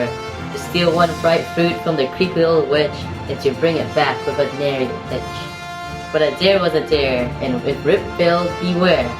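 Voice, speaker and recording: A woman's voice: sits low, poor audio. A woman narrator reading rhyming, scripted storybook narration in a theatrical storytelling manner.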